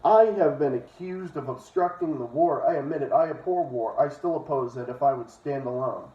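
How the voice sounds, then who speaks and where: An idealistic male orator from a history comic states his convictions to a court with earnest defiance, as read aloud by a man. The voice is mid-pitched and comes from a distance in an echoey room. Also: moderate pace, lo-fi sound, natural voice. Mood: earnest, defiant.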